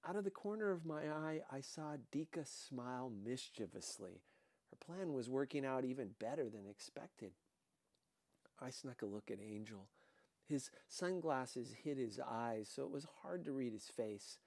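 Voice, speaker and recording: A man's voice: medium pitch, lively intonation, a little slow, a little mellow, dry recording. A man reading a first-person novel aloud as scripted narration. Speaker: audiobook reader.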